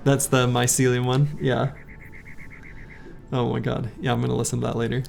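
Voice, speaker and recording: A man streaming a game, chatting casually with viewers in a rambling way, his voice a little hoarse. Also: medium pitch, little pitch movement, moderate pace, a little mellow. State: slightly hoarse. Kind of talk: casual live-stream chat while playing. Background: faint game music.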